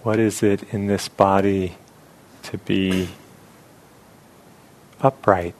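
A man leading a guided meditation as a teacher, speaking reflectively in short phrases with long, unhurried pauses. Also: deep voice, fairly even intonation, darkish tone, poor audio.

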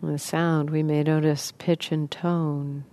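A woman leading a guided meditation as a teacher, speaking in unhurried, sparse phrases with long pauses. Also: deep voice, little pitch movement, warm tone.

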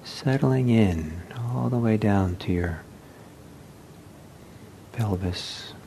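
A man leading a guided meditation as a teacher, speaking gently and unhurriedly with halting pauses. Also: vocal fry. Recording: low rumbling background noise.

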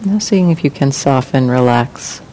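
A woman meditation teacher leading a guided meditation in calm, gentle, unhurried phrases with long pauses. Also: rough recording. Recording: clear rumbling background noise.

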